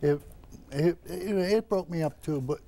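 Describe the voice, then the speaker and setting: An older man recalls the past slowly and haltingly. His voice is mellow and creaky, with faint noise in the studio recording. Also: a little high. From Brooklyn, New York. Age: 70s. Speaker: interview guest. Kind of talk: reminiscing in a TV interview. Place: television studio. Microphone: lapel mic.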